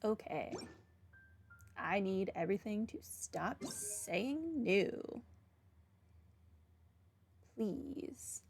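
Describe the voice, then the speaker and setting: A woman's voice: medium pitch, expressive, very slow, long pauses, a little mellow. Dry, wry woman streamer over game music. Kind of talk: casual game livestream commentary.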